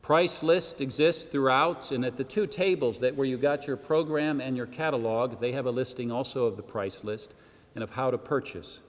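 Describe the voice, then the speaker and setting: A man delivering a formal public speech with measured, emphatic oratorical phrasing and the occasional rhetorical aside. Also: mid-range voice. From Senegal. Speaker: arts organisation president giving a launch speech. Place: church hall, addressing a gathering. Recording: long echoing reverb of a large hall.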